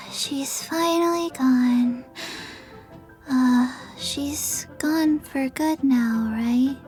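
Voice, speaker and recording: A subdued little child speaks in video game dialogue. The high voice drags extremely slowly and haltingly, with faint music behind. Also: female voice, darkish tone. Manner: halting, drawn-out.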